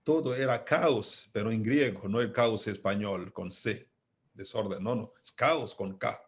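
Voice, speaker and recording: Male guest speaker, Congolese accent, lowish pitch, very unhurried, deliberate and emphatic philosophical delivery. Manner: deliberate, emphatic, repeats key phrases with pauses. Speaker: invited speaker, philosopher and theologian. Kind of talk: reflective philosophical talk.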